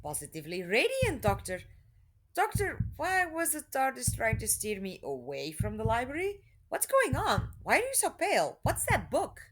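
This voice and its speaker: A woman's voice: sits high, huge pitch swings, moderate pace, a bit bright, reverberant, full character voice. A woman in an audio drama playing a spirited, inquisitive young travelling companion, with an exclaiming, questioning delivery. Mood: insistent, curious.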